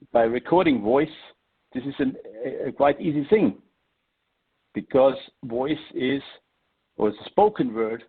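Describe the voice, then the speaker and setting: A middle-aged man from Austria with an Austrian German accent, giving an unscripted webinar talk, halting and searching for words with frequent restarts. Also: medium pitch, breathy edge. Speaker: webinar presenter. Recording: tinny phone-like line.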